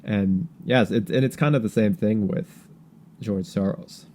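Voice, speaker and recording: Low-pitched, mellow man talking politics casually. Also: unhurried. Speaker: podcast host.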